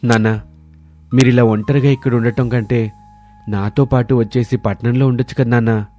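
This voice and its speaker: Man playing a proud, ambitious son, mellow voice. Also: medium pitch, steady tone, moderate pace, soft background music, natural voice. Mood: persuading. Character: proud, ambitious grown son, self-serving and cold. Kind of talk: scripted story dialogue.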